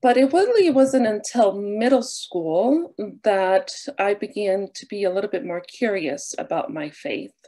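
A woman in her thirties, a nun and vocations director, born in Argentina and raised near New Orleans, talks unhurriedly over a webcam with many fillers and soft laughs. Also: mid-range voice, expressive, unhurried, few pauses. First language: Spanish. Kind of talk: casual talk, opening with a prayer. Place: small bedroom with a wooden armoire, indoors. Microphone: webcam on a video call.

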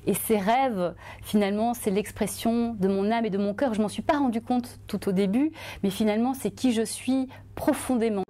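Earnest woman with a mellow voice. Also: mid-range voice, moderate pace. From Belgium. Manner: earnest, expressive, lively hand gestures. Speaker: journalist and author. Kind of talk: testimonial interview to camera.

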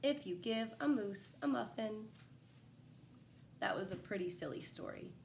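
A young adult woman reading a children's picture book aloud like a teacher, in an animated, expressive way with asides to young listeners. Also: mid-range voice, gravelly, a little hiss or hum. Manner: animated, pausing to ask young listeners questions. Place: small living room at home, indoors. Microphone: phone camera a short distance away.

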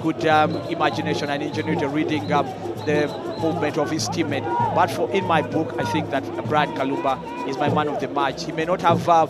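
High-pitched analytical football pundit man. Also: little pitch movement. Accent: Ugandan English. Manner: measured analysis, frequent fillers. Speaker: co-commentator, match analyst. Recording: broadcast mix with crowd and music.

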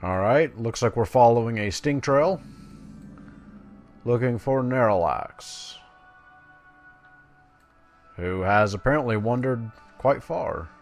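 A man's voice: medium pitch, slow, pauses often, faint music behind. A man doing casual, jokey game commentary as a video host, at times reading game text aloud. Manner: jokey, laid-back.

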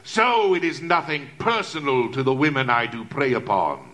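An actor playing a savage, grandiose murderous alter ego with theatrical, menacing oratory in a gothic audio drama. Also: male voice, medium pitch, very animated, moderate pace, pushed and bright. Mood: scornful, cold.

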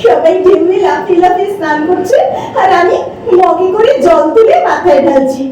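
Actress playing young woman poet, high pitch, lively, dreamy, audio drama, heavy echo. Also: normal speed. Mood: animated, reminiscing. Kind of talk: audio drama acting with recited verse. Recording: very echoey, distant microphone.

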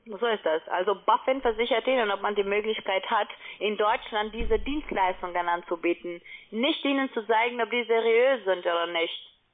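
A woman's voice: medium pitch, normal speed. Non-native female call-centre agent, wordy and insistent, heard over a narrow phone line. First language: not German. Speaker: call-centre agent selling online trading. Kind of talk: phone sales pitch. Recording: tinny, band-limited phone line.

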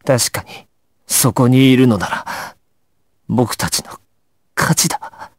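Fading young male game gangster: very slow, low, breathless, devoted and lonely. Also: a little mellow, no echo, studio quality, heavily acted. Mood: weak, longing. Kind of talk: game dramatic acting.

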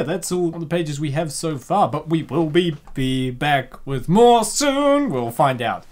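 A young adult hosting a comic dub with casual reaction commentary, excitable, rambling and full of slang. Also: medium pitch.

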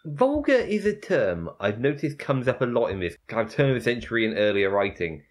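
Woman, extremely deep voice, lively intonation, podcast co-host, casual banter. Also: brisk.

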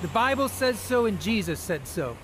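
Earnest higher-voiced young street preacher. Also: male voice, sing-song, normal speed, fairly bright tone, a little hiss or hum, poor audio. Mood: fervent preaching. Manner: emphatic, declarative preaching. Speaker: actor. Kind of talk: radio drama acting.

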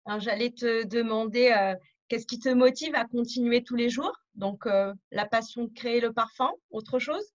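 A woman's voice: fairly high pitch, moderate pace. A woman moderating a conference over a video call, asking questions in a friendly way and laughing often. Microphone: webcam on a video call. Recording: dull video-call audio.

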